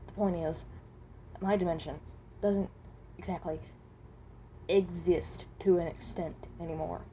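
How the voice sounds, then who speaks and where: Woman voicing a weary, hesitant animatronic rabbit, dark-toned. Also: fairly low pitch, fairly even intonation, slight background noise, lightly acted. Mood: bitter, breaking. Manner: halting, hesitant, trailing off, self-correcting. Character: weary, self-loathing, guarded animatronic rabbit from another dimension. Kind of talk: amateur in-character voice lines, improvised monologue.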